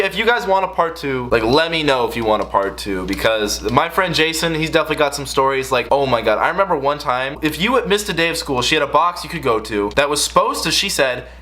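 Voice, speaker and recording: A young American man telling a casual storytime rant in an exasperated, slangy way. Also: on the high side. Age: young adult. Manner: exasperated, animated, slangy delivery. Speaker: video creator telling stories.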